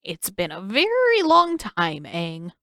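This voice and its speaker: A woman's voice: mid-range voice, huge pitch swings, slow, pushed and bright, dry recording, clean studio sound, full character voice. A woman voicing an eccentric old male king who is manic and fond of riddles, speaking in a theatrical, teasing way. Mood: sly, playful.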